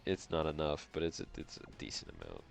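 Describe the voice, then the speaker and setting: A man's voice: extremely low pitch, sing-song, fast, vocal fry. A man with a stuffed-up, congested nose giving casual gameplay commentary, slangy and repeating phrases to himself. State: nasally congested, breathing through mouth. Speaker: gaming video host.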